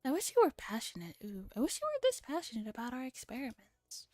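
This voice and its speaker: A woman acts out a delusional young man narrating a visual novel. The delivery is pompous and dramatic, in a soft, dark-edged tone with no room sound. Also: high-pitched, wildly swooping pitch, fast, no echo, clean studio sound, faint music behind. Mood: exasperated.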